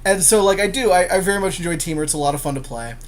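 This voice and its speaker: Higher-voiced man in casual gaming chat. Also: very fast. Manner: informal slangy talk, swears casually. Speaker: podcast co-host. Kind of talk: casual gaming commentary, chatting while drafting cards.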